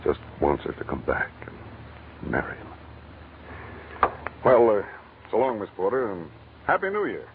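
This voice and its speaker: An actor in a 1940s radio drama playing a low-key, world-weary but kindly private investigator, laconic and casual. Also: male voice, huge pitch swings, measured pace, long pauses, exaggerated voice. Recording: muffled, noisy old radio broadcast recording.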